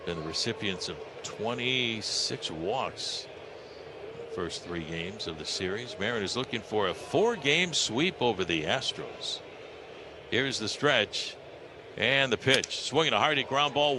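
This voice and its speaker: A noisy live radio broadcast with ballpark ambience behind an American man doing baseball play-by-play. His pitch sits in a normal middle range, and he works in short descriptive phrases, stretching certain calls. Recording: radio broadcast with clear background noise.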